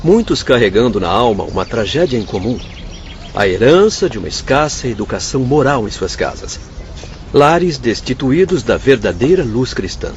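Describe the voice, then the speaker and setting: A man's voice: mid-range voice, very animated, normal speed, close to an everyday voice. A man acting an earnest spirit doctor in a radio drama, compassionate but stern, formal and moralizing. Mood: grave, moralizing. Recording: dull lo-fi audio with faint ambient noise.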